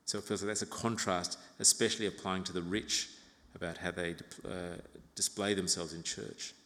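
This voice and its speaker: A man in his fifties from Uganda preaches in a large church with long, ringing reverb. His voice sits on the low side, and he explains scripture in a measured, reflective manner, pausing and slipping in gentle asides. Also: fairly even intonation. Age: 50s. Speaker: pastor preaching to own congregation. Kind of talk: expository sermon. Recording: reverberant hall.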